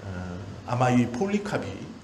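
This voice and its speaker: A man in his forties delivers a sermon as a pastor, at a middling pitch. He builds his points in short, emphatic, repeated phrases. The room echoes noticeably around his voice, with faint background noise. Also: very animated, takes it slowly, a little mellow. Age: 40s. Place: church hall during a service. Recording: roomy church echo, faint background noise.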